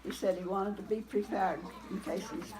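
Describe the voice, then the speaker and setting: A woman's voice: mid-range voice, fairly even intonation, moderate pace. Older grandmother reminiscing, noisy recording. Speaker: grandmother telling family memories. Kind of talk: casual family storytelling. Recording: noisy informal family gathering recording.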